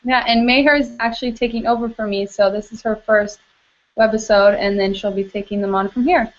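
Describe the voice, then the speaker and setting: A woman hosting a student webisode on a video call, introducing the guests in a friendly, brisk way. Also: a little high, steady tone. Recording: muffled video-call audio.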